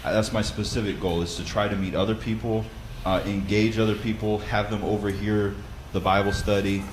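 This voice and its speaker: A man with a loud voice who leads a Bible study, explaining practical advice in a conversational way with frequent fillers and restarts. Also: fairly low pitch, flat delivery, fairly quick, slight background noise, poor audio. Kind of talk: instructional talk.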